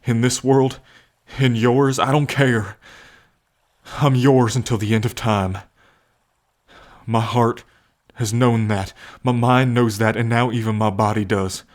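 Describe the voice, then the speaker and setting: Casting: male actor as a devoted bull man with a violent past, unhurried and halting, tender and earnest, for listener-directed ASMR roleplay. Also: mid-range voice, fairly even intonation, lightly acted. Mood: tender devotion.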